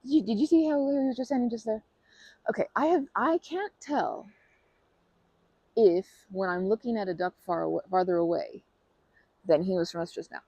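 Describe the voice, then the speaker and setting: A woman's voice: medium pitch, moderate pace, long pauses, dry recording. A woman in an ASMR roleplay who talks to the listener in a rambling, bashful and playful way, full of fillers and restarts. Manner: playful and earnest, laughing softly.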